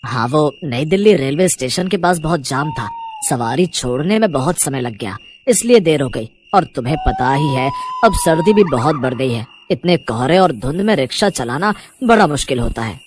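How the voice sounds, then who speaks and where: A man's voice: fairly high pitch, wildly swooping pitch, moderate pace, a little hiss or hum. Man voicing a humble, kindly rickshaw-puller father. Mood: weary. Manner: polite, reassuring. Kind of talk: animated moral-story cartoon dialogue.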